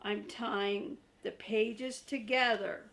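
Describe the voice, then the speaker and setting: A woman's voice: medium pitch, fairly even intonation, slow pace, gravelly, a bit bright. A woman voicing a lovable, frightened furry monster from a storybook, pleading emphatically and theatrically. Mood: earnest, insistent.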